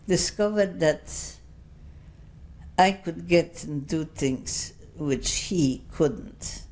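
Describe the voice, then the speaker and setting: A woman in her seventies giving an oral history testimony, speaking English as a second language in a slow, rambling, anecdotal way full of asides. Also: low voice, darkish tone. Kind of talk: unscripted recollection.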